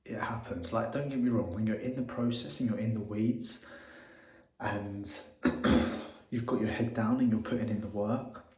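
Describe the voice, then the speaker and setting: A young man speaks in a lowish voice, excitable and quick to swear, circling back over the same phrases. His casual vlog is recorded in an echoey room. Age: 20s. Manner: excitable, swears often, repeats himself. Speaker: agency owner vlogging.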